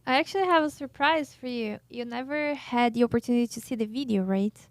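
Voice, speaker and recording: A woman co-hosting a podcast who asks curious, quick follow-up questions. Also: fairly high pitch, no room sound. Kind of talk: casual interview.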